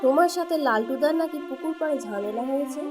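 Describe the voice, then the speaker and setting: A woman's voice: high voice, lively intonation, a little fast, music in the background. A woman voicing a caring, cautious village wife in a cartoon, talking in a concerned, advising manner. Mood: worried. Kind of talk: cartoon dialogue acting.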